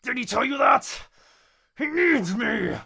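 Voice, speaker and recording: A man's voice: extremely high pitch, expressive, slow pace, vocal fry, bright, forceful tone, husky, voice pushed up, exaggerated voice. A man voicing an obsessive, villainous shadow creature in an ASMR roleplay, strained and pleading as he shrieks. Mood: desperate anger. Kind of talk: yandere ASMR roleplay acting.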